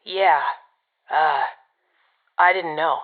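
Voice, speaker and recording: Very low-voiced, sardonic woman. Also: drawn-out words, narrow, tinny audio. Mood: hesitant. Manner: dry, sarcastic, blunt. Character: sardonic, foul-mouthed, grudgingly fond woman, seasoned guide. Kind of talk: audio drama acting.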